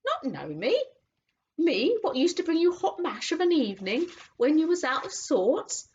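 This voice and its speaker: A woman doing a bluff, loud old cabby. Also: high-pitched, sing-song, moderate pace, pitched above the performer's own voice. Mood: hearty. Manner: loud, blunt and homely. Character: rough-spoken working-class London cabby, bluff and warm-hearted. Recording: dull lo-fi audiobook recording.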